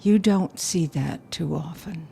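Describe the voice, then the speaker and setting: A woman playing a low-voiced, grieving businesswoman. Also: slow, vocal fry, warm tone, breathy edge, exaggerated voice. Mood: wistful, reflective. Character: grieving, self-possessed businesswoman recounting a lost love. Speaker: actor in an audio play. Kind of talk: intimate dramatic monologue, audio play acting.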